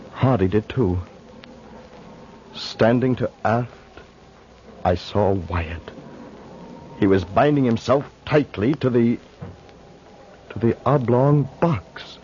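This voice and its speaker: An actor playing an earnest, alarmed shipwreck survivor in an old-time radio drama, with an intensely dramatic delivery. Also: male voice, fairly low pitch, a little mellow. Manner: dramatic, measured narration rising to urgent pleading. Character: earnest, alarmed male shipwreck survivor telling his tale. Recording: muffled, noisy old radio recording.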